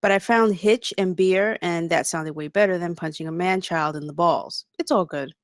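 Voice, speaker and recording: An actress playing a tough, flippant young campus guard in a comedy table read. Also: female voice, lowish voice, normal speed, no echo, studio quality, natural voice.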